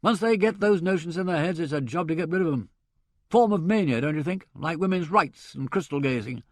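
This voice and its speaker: A man voicing a blustering, boorish middle-aged country squire, hearty and loud in manner. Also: a little high, expressive, fairly quick, dry recording, clean studio sound, close to an everyday voice.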